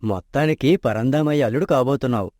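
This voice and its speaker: A man's voice: medium pitch, fast, darkish tone, dry recording, close to an everyday voice. A man voicing a polite, honest young clerk. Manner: polite and deferential. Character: honest, modest young shop accountant. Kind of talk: animated storybook dub. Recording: dry close studio mic.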